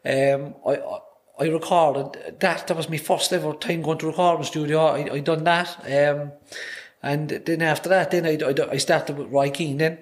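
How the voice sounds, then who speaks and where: A man's voice: on the high side, steady tone, normal speed. A man in his thirties with a Cork Irish accent, a podcast guest chatting casually and rambling through stories with many restarts. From Ireland. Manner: rambling storyteller, many restarts and repeated words. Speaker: podcast guest, singer-songwriter. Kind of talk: casual chat. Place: small podcast studio indoors. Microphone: desk mic on boom arm.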